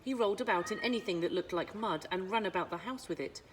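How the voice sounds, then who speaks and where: A woman narrating a children's storybook as a librarian would, with dramatic pauses and emphasis. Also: mid-range voice, a little hiss or hum, rough recording. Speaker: school librarian reading aloud.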